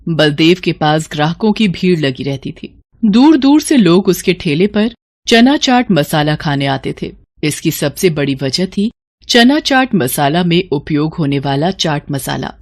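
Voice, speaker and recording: A woman's voice: low voice, sing-song, a little slow. A woman narrating a scripted moral tale for children in a storytelling style.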